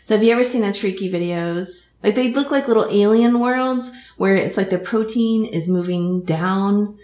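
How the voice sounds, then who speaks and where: A woman's voice: medium pitch, moderate pace, a little mellow. A woman with a Texas accent, hosting a podcast interview as a hypnotist and explaining how hypnosis works in a chatty way. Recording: roomy echo.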